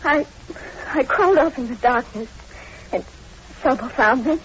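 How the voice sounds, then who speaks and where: A woman's voice: sits high, measured pace. A woman playing a young heroine, horrified and distressed, in old radio horror drama acting. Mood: shaken. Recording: dull lo-fi old radio recording, hiss.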